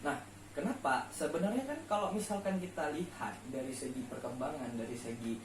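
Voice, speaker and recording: A man's voice: a little high, fairly quick. A man chatting casually and explaining, asking rhetorical questions and repeating key words for emphasis. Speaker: main speaker on a late-night discussion show. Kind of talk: casual explanatory chat on faith and life. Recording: roomy echo, distant mic, faint noise.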